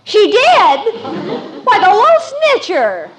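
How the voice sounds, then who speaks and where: A woman's voice: extremely high pitch, huge pitch swings, slow, heavily acted. A woman playing a stubborn, dreamy seventeen-year-old daughter in a 1950s radio comedy, earnest and dramatic. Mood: defiant. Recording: faintly noisy old radio recording.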